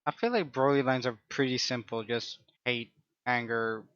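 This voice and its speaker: Flat-voiced man streaming a game. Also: mid-range voice, moderate pace, dry recording, lo-fi sound. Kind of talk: casual chat while gaming.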